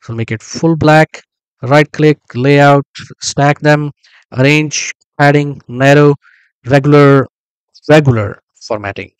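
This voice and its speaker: A man explaining a database build step by step while working on screen, thinking aloud with frequent pauses and small self-corrections. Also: a little high, clear, resonant tone, dry recording, rough recording. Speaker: software tutorial instructor. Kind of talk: screen-recorded tutorial narration.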